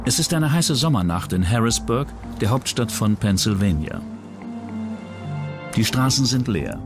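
A man's voice: mid-range voice, animated, measured pace. Man narrating true crime over prominent music. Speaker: documentary narrator. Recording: music bed under the voice. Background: background music.

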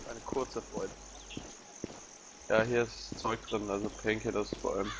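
A man chatting casually with friends during an online game, with dry quick jokes. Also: fairly low pitch, flat delivery, background noise. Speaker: clanmate. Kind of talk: casual gaming chat.

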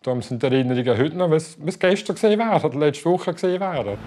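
A man's voice: fairly high pitch, expressive, racing, darkish tone. A man in his fifties with a Swiss German accent, chatting eagerly in a home interview with easy fillers. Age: 50s. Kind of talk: casual interview chat. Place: living room indoors.

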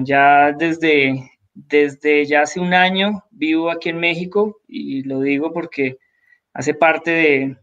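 A man in his thirties from Colombia, a tech entrepreneur speaking as a guest on a live video call, measured and restarting his phrases often. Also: on the high side, a bit bright. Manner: measured, many restarts and repetitions, formal. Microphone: video call audio. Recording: dull lo-fi call audio.

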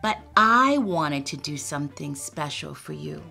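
A woman voicing a small, earnest young boy rabbit in a storybook, talking brightly and eagerly. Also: sits low, lively intonation, unhurried, creaky, soft background music, exaggerated voice.

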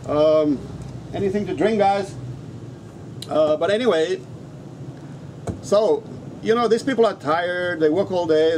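A man's voice: sits high, darkish tone. A middle-aged man from Africa, a non-native English speaker, talking casually to camera as a teacher and repeating words for emphasis. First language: not English, his third language. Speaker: English teacher and trainer, vlogger. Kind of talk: casual vlog talk to camera. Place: open-sided resto-inn in the Philippines. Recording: noisy open-air ambience behind voice.

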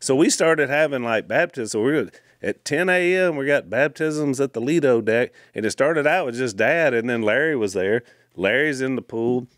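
A middle-aged man, co-hosting a podcast and telling stories in a casual, animated way at a studio mic. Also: medium pitch, no room sound. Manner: animated storyteller, quick asides. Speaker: podcast co-host. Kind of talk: casual storytelling chat. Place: wood-paneled studio room. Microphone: studio mic on boom arm, headphones.

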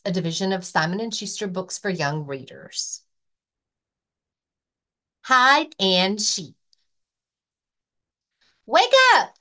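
A woman reads a children's picture book aloud in a story-time style. Her pitch swings in a lively way across the rhymes, and she takes the verse at an unhurried, rhythmic pace. Her voice sits in a middle register for a woman. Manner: expressive, rhythmic reading of rhyming verse. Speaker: librarian and story-time reader.

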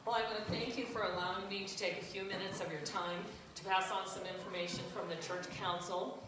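An older woman on the church council reading announcements from her notes in a loud, plain voice in a church sanctuary. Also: medium pitch, flat delivery, a little fast, noisy background. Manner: loud, plain reading with a self-deprecating aside. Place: large echoey church sanctuary. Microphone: far camera at the back. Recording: echoey church from far off.

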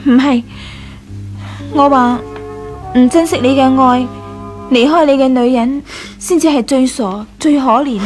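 High-voiced devoted young woman. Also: a little slow, pauses often, darkish tone. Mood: tender, devoted. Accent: Hong Kong Cantonese. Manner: coaxing, tender, earnest. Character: devoted, soothing young woman secretly in love. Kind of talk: 1980s radio drama acting. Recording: 1983 radio drama with music behind.

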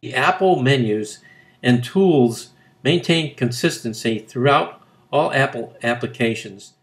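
A man narrating scripted instructions as a tutorial, reading in measured phrases. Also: mid-range voice.

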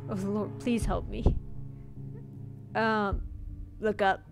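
A woman's voice: mid-range voice, little pitch movement, slow, creaky. Woman dramatically reading a horror game aloud. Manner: dramatic read-aloud with stumbles. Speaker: game streamer. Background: game music.

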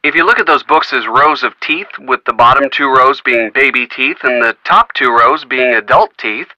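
Chummy hint guy in a mystery game, super bright tone, dry close mic. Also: medium pitch, moderate pace, no echo, highs cut off. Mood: helpful. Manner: breezy, instructive, chummy. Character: friendly male hint-line helper, upbeat. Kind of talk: studio video game voice acting.

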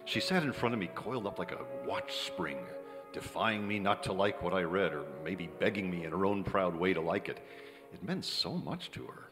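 Cynical Hollywood writer: low American voice, animated hard-boiled radio-play delivery, hall reverb, music. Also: male voice, moderate pace. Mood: wry. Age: middle-aged. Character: wry, cynical young Hollywood screenwriter narrating his story. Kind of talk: old-time radio drama narration and dialogue. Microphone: vintage-style stand microphone.

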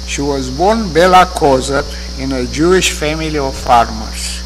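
An older man with a Romanian accent giving a measured, earnest eulogy from a lectern in a synagogue sanctuary. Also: medium pitch. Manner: slow, measured, earnest delivery. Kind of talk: memorial eulogy. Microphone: lectern microphone. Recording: reverberant hall with hum.

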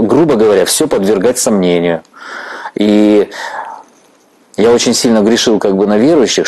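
A man's voice: fairly low pitch, darkish tone, breathy edge. A man in his forties from Ukraine who interviews his guest on a church stage, candid and reflective, laughing easily. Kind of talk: conversational interview.

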